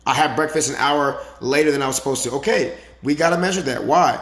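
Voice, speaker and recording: A man with a raspy, slightly hoarse voice giving a casual motivational talk as a fitness coach, emphatic and repetitive with frequent rhetorical questions. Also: medium pitch, fast talker. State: slightly hoarse from the previous day.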